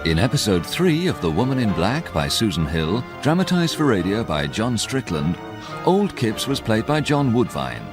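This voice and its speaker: A man's voice: lowish voice, very animated, moderate pace, music in the background. A man reading formal scripted credits as a radio continuity announcer.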